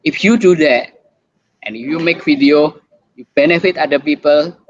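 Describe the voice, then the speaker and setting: A man with an Indonesian accent giving an online talk as a doctor, halting and repeating words as he goes. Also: fairly high pitch. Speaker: doctor and guest speaker. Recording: muffled lo-fi video call.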